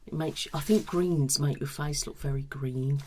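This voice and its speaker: A woman giving a soft-spoken ASMR consultation in an unhurried murmur that slips in and out of a whisper. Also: sits low, heavy background noise. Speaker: colour analysis consultant.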